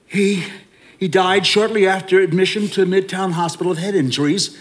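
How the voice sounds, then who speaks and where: A man's voice: sits high, fairly even intonation, moderate pace, slight background noise, lightly acted. A man playing a nervous young husband who stammers and protests in an old-time radio drama. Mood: flustered. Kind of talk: 1940s radio acting.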